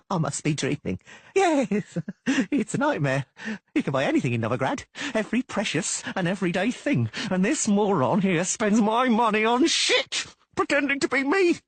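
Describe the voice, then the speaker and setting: A man's voice: fairly high pitch, wildly swooping pitch, moderate pace, breathy edge, dry recording, pitched above the performer's own voice, exaggerated voice. A man doing a blustering halfling merchant. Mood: despairing. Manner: outraged, blustering. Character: hot-tempered male halfling merchant.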